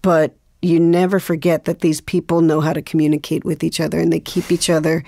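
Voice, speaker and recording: Woman with a low voice, close dry sound, thinking out loud in an interview. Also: flat delivery, brisk, vocal fry, no echo. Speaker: interview guest. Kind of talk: reflective conversation.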